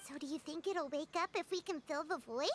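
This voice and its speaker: A woman voicing a tiny, chatty, childlike companion in a video game, squeaky, excitable and easily offended. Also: a little fast, lightly acted. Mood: curious. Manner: third-person self-reference, chirpy, indignant. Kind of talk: cartoonish video game dialogue. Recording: game audio with loud background noise.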